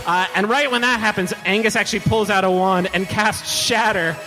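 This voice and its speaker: A high-voiced man runs a tabletop game on stage, telling it dramatically and colloquially. He takes his time, and the hall echoes around him with music underneath. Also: steady tone, normal speed. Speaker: game master. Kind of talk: live tabletop game narration.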